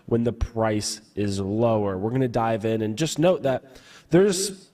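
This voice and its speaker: Raspy man with a cold. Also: lowish voice, warm tone. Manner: measured, explains step by step, asks himself questions. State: hoarse from a cold. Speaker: guest crypto analyst. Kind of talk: explanatory market analysis.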